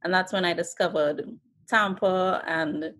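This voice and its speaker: A young Trinidadian woman, in her twenties, talks through her life story in a calm, reflective interview. Her voice sits a little low. She fills her pauses with small hesitations. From Trinidad and Tobago. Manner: relaxed, thoughtful storytelling with fillers. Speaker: artist guest. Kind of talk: casual interview.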